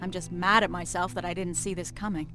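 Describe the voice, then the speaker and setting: A woman's voice: on the low side, fairly even intonation, fairly quick, lightly acted. Actress as frank female soldier over music. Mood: frustrated, confiding. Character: frank young female resistance soldier, quietly frustrated. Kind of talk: naturalistic video game acting.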